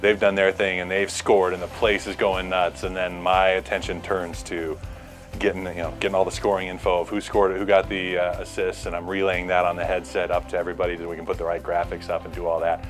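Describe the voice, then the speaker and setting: A man's voice: low-pitched, flat delivery, brisk, faint music behind. A middle-aged American man, a sports announcer, talking casually and reflectively about his work. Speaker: public address announcer.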